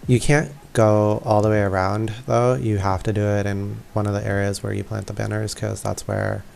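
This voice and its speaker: A man's voice: on the low side, little pitch movement, moderate pace, creaky, a little mellow, a little hiss or hum. A man giving casual, laid-back walkthrough commentary over a video game. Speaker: gamer narrating a walkthrough. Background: faint game music.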